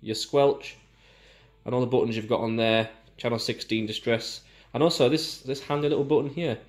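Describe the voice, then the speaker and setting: A man's voice: medium pitch, moderate pace. British man giving a casual product review. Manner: informal, explanatory.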